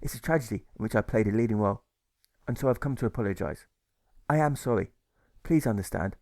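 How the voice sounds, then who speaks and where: Man as a gentle, pleading young pacifist. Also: on the low side, quick pace, no echo, studio quality, lightly acted. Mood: contrite. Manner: earnest, repetitive pleading.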